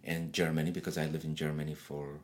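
A man's voice: extremely low pitch, little pitch movement, fast talker, a little hiss or hum. A middle-aged man from Eritrea, whose first language is Tigrinya, chatting easily in an interview and telling stories about his home with restarts and laughter. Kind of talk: casual conversational interview.

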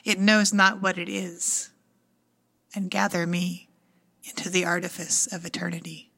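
Female poetry reader, even-toned and unhurried, deliberate in her phrasing. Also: lowish voice. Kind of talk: poetry recitation.

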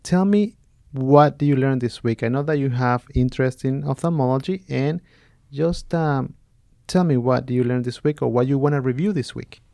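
A man's voice: medium pitch, normal speed, creaky, dark tone, dry recording, studio quality. A man hosting a podcast, interviewing a guest in an easygoing, friendly way. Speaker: podcast host.

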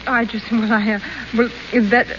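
A woman as a spoiled society brat, shrill and haughty, high lively pitch, dull 1930s radio sound. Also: normal speed, slight background noise, lightly acted. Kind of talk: 1930s radio play acting. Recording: muffled 1930s radio broadcast.

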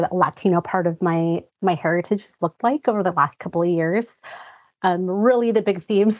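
A woman's voice: fairly low pitch, no room sound. A young woman from Guatemala, raised in the United States, speaking earnestly and chattily as a podcast guest. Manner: earnest, chatty, many fillers. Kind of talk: conversational interview. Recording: dry, slightly muffled audio.

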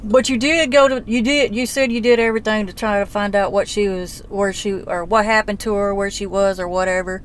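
A woman's voice: medium pitch, steady tone, a little fast, few pauses, a little hiss or hum. A woman, questioning a man on a phone call in a blunt, folksy, persistent way. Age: 40s. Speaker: relative investigating a disappearance. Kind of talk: casual phone conversation.

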